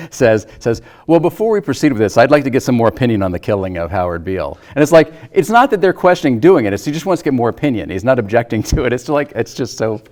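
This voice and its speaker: A man's voice: mid-range voice, darkish tone. A man chatting informally about film as a guest, tentative and thinking aloud as he goes. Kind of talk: casual film discussion.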